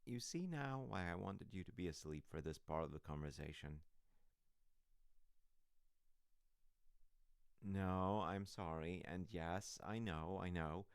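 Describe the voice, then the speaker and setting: A man's voice: deep voice, moderate pace, clear, resonant tone, no room sound, studio quality. A man playing a loving, sassy, sheepish husband in an intimate ASMR roleplay, rambling to a sleepy partner with teasing, hesitant asides.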